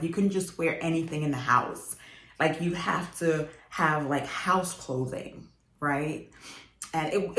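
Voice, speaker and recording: Young woman from jamaica raised in new york, low voice, chatty fashion vlog, laughs a lot, roomy echo. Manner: chatty, laughs often, lots of fillers. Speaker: fashion vlogger. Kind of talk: casual chat to camera. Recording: echoey room.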